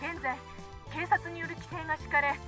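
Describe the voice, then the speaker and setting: An actress playing a brisk, formal television news reporter in a visual novel. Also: female voice, very high voice, level tone, sharp tone. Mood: urgent, formal. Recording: music under the voice.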